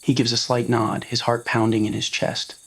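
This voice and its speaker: A man's voice: medium pitch, level tone, moderate pace, creaky, slightly rough. A man reading a scripted romantic roleplay story as narrator, in an ASMR audio style.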